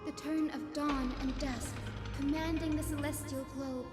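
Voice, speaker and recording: High-voiced, poised fantasy priestess, echoing. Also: female voice, steady tone, a little slow. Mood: ceremonial. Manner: composed, formal, articulate. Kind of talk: scripted video game voice acting. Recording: echoey reverberant hall, music behind.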